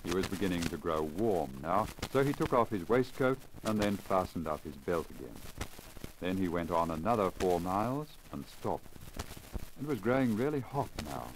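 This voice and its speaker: An old, crackly disc recording from 1950 carries a man's low-pitched voice. He narrates a literary story in period radio style, formal and measured, with careful enunciation. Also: animated. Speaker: story reader, radio broadcaster. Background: surface crackle and noise.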